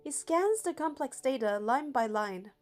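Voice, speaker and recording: High-voiced woman reading a game. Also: animated, a little slow, soft background music. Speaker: narrator of a game playthrough. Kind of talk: reading game text aloud.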